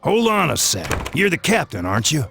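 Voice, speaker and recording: A slick, pushy recruiter from an anime game. His voice is creaky and fairly high for a man, with lively swings. He pitches informally and persuasively. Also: a little fast, very noisy, exaggerated voice. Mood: eager, pushy. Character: pushy, slick male school sports recruiter. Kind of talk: anime video game voice acting.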